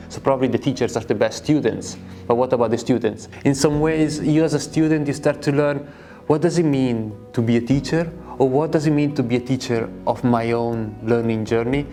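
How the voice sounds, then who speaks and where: A man's voice: mid-range voice, steady tone, brisk, dark tone, faint music behind. A man from northern Italy, speaking reflectively and asking questions in a documentary interview.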